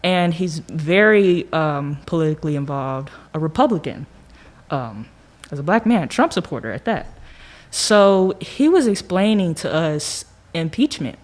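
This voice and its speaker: A young American woman with a low-pitched voice talks casually about her own experience. Her delivery is colloquial and animated, as if chatting among peers, and she voices her own reactions along the way. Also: measured pace. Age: young adult. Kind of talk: casual conversation, personal reflection.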